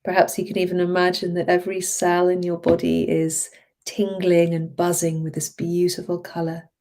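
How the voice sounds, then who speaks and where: A woman speaking as a hypnotherapist, leading a guided relaxation in a soothing, encouraging manner with drawn-out phrases. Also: lowish voice, monotone, darkish tone. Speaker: hypnotherapist guiding a relaxation session.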